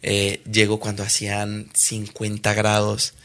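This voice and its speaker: A young man with a Colombian Spanish accent talks casually in an interview. His low voice has a gravelly edge and stays fairly level in pitch. He hesitates and restarts often, picking up when recalling stories. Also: monotone, a little slow, fairly bright tone. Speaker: professional footballer guest.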